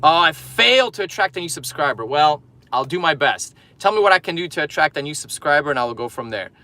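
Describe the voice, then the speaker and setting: A man's voice: on the high side, big pitch swings, quick pace, bright, forceful tone. A man from Poland, a fitness coach, chatting casually on a livestream while driving and reading viewer comments aloud. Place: in a car in traffic.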